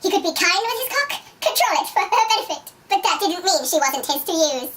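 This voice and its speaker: Woman putting on a romance audiobook narrator, theatrical, giggly, bright and piercingly high, distant mic. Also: expressive, fairly quick. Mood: amused melodrama. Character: over-dramatic, giggly female narrator of a steamy romance novel. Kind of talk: dramatic reading of romance fiction. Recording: distant mic with faint noise.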